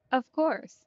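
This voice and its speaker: A woman's voice: a little high, breathy edge, no echo. A woman gaming streamer giving casual, wry, amused commentary as she plays. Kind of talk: casual Let's Play commentary. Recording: slightly dull mic with game music. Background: game music.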